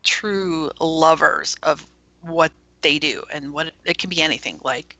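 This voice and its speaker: A woman guest chats eagerly about the radio station she runs and laughs often. Her voice is bright and forceful, a little on the low side, and it sounds dry and close. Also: a little slow, no echo, rough recording. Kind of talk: casual interview chat.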